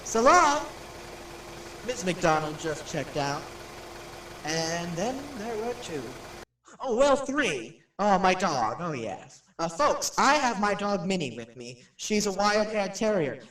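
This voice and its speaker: Glib, lively radio showman, echoey. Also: high-pitched, distant mic, full character voice. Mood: jokey, chatty. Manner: chatty, flippant, showman-like patter. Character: glib wisecracking male radio personality, sceptical and jokey. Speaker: radio show host. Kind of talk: live on-location radio commentary in an audio drama. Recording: roomy, echoing, off-mic drama recording.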